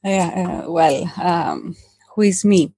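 A woman's voice: fairly low pitch, slow, warm tone, breathy edge. A woman from Greece with a Greek accent, a podcast guest telling her story with many hesitations and fillers. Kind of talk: casual interview talk.

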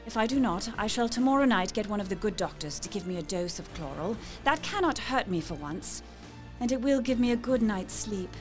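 A young Victorian wife, played by an actress, narrates her diary in a high, wistful voice. She is anxious and melancholy, measured yet emotive. Faint music plays behind this audio drama monologue. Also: close to an everyday voice. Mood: weary, resolved.

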